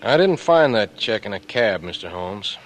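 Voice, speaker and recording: An actor playing a cocky, suspicious young blackmailer in an old American radio drama, talking in a terse, wary way. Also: male voice, lowish voice, very animated, moderate pace. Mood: accusing. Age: young adult. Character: cagey, brash young small-time blackmailer, suspicious and cocky. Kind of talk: 1940s radio drama acting. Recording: noisy vintage radio broadcast recording.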